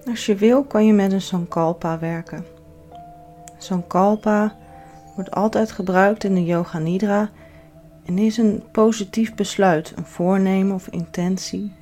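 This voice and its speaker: A woman leading a guided relaxation as a yoga instructor, giving soothing, measured instructions with pauses. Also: medium pitch, fairly even intonation, warm tone. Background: background music.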